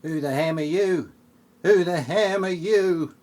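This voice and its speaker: A man's voice: on the high side, measured pace, darkish tone, natural voice. A man playing a menacing bandito ex-husband in a spoof audio play, drawing out his threats. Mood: threatening.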